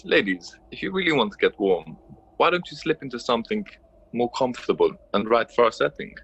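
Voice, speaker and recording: Man playing a jolly, animated storybook host. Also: mid-range voice, brisk, slightly rough, muffled recording. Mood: welcoming, flirty. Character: jolly, courteous, flirty male host inside a magical painting. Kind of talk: audio play acting.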